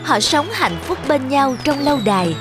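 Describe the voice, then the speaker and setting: A woman narrates children's fairy tales in a fairly high voice. It is scripted storytelling, with music clearly playing behind her. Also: sing-song, normal speed. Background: background music.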